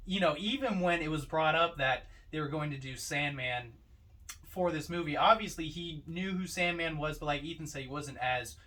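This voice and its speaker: A man's voice: a little high, normal speed, pushed and bright, distant mic. A man co-hosting a podcast, chatting about films in a reflective, explanatory way. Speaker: podcast co-host. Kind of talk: casual film discussion.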